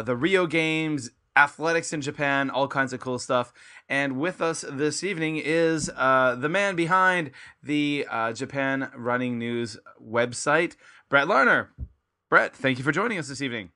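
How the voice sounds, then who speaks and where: A man hosting a podcast with a bright, forceful tone and an upbeat, chatty manner. He keeps calling out to his listeners and pitches his show with plain enthusiasm. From Canada. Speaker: podcast host. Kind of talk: casual podcast intro and interview. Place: his home in Kobe, Japan.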